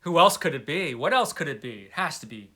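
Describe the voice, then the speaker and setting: Bright-voiced, playful man chatting about books. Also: fairly high pitch, sing-song, brisk. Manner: playful, tongue-in-cheek, emphatic declarations. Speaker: book vlogger, host of the channel. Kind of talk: casual chat to camera, answering book tag questions.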